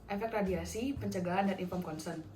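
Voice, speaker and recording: A woman's voice: medium pitch, fairly quick, mellow, echoey room, soft background music. A young woman, a dental student, demonstrating a radiography procedure and methodically working through numbered steps. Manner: polite, addresses patient in role-play. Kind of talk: instructional skills demonstration.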